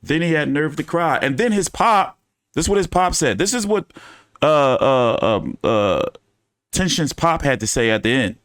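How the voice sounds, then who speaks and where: A man's voice: on the high side, animated, moderate pace, fairly bright tone, no room sound, studio quality. Profane, joking male live-stream host. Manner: loose, profane, joking banter. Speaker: boxing commentary host. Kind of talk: casual live-stream fight commentary.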